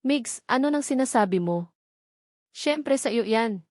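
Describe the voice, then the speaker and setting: A woman voicing a distraught young nurse in a story, pleading in a desperate, halting manner. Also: a little high, expressive, a little mellow, no echo, clean studio sound, voice pushed up. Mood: shocked, disbelieving. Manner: stammering, desperate.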